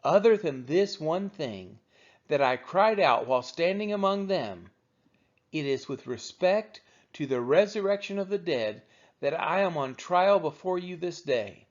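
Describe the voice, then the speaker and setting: A man reads scripture aloud in a slightly high voice. His intonation rises and falls widely, with measured, deliberate oratorical phrasing. The recording sounds a little dull and lo-fi. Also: expressive. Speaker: scripture reader of a daily read-along. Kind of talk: scripted Bible reading, narration.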